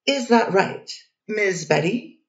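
A woman's voice: mid-range voice, lively intonation, slow. Woman playing a defense lawyer, methodical questioning, distant roomy sound, dull muffled recording. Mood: steady. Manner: methodical cross-examining questions. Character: poised woman defense lawyer cross-examining. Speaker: defense attorney. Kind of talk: readers theater courtroom reading.

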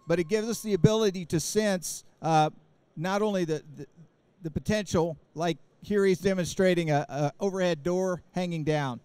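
A man's voice: sits high, normal speed, a little mellow, clear, resonant tone, no echo. A man in his forties giving a technical product presentation at a trade show, speaking into a handheld microphone, explaining as he goes with many fillers and restarts. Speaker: company presenter. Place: large indoor trade show booth.

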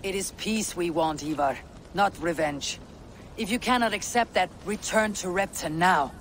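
Brief: steady Viking chief, slightly high, firm and level, faint outdoor hiss. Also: male voice, moderate pace. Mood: resolute. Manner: firm, composed, commanding. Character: steady Viking clan leader, calm and resolute. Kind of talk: video game cutscene acting.

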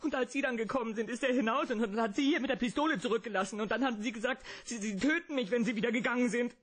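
A man's voice: very high-pitched, fast, a bit bright, slightly rough, exaggerated voice. A man playing an agitated, evasive crook in a German radio play, whining and indignant as he protests. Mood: panicked, accusing.

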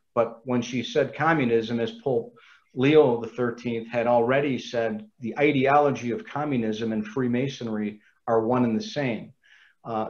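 A man giving an earnest, measured talk on a video call, quoting sources at length. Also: medium pitch, darkish tone, lo-fi sound.

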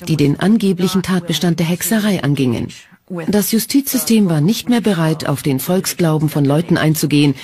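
A woman's voice: low-pitched, warm tone. A woman speaking a scripted voice-over for a documentary. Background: background music.

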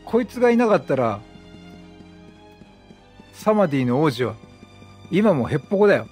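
A man's voice: on the high side, very animated, measured pace, a little mellow, breathy edge. A man chatting casually as he commentates on a video game, with game music behind him. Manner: chatty, reads dialogue aloud in acted voices. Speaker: let's play streamer. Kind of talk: casual game commentary with improvised character dubbing.

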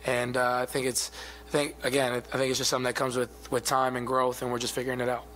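Athlete in his twenties, steady low-key answers at a postgame presser, table mic, light hum. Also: quick pace. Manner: soft-spoken, even, many fillers. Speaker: basketball player. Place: arena press room. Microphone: table mic in front of him.

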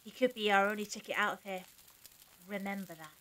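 A woman's voice: mid-range voice, steady tone, brisk, bright, forceful tone, lightly acted, low-quality recording. A woman playing a practical, caring and level-headed character in an audio drama, firm with the others.

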